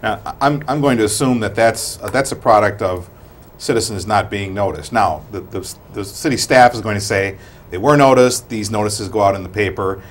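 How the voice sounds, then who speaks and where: A man's voice: fairly low pitch, moderate pace. A man in his thirties co-hosting a studio talk show, blunt and opinionated as he questions a guest. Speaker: guest co-host. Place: small TV studio. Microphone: lapel mic.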